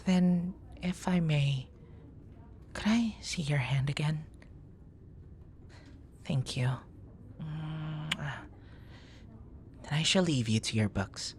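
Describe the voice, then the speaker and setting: A man playing a charming, smitten young nerd in an ASMR boyfriend roleplay, murmuring softly in courtly, poetic phrases. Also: a little high, slow, pauses often, slight background noise, pitched above the performer's own voice. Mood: tender, teasing.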